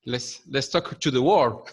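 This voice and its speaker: A man, hosting over a video call and speaking in English. He talks very slowly, pausing to find each word, in an even middle-pitched voice. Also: slightly rough. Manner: slow, searching for words. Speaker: interviewer, host.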